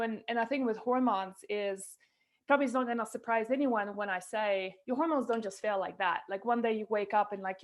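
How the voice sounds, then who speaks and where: A middle-aged woman guest on a video call, telling her own story with animated gestures and frequent fillers and restarts. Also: on the high side. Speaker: guest, nutrition coach. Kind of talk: candid interview storytelling. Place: indoors at a window with a snowy forest view. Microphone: video call, webcam.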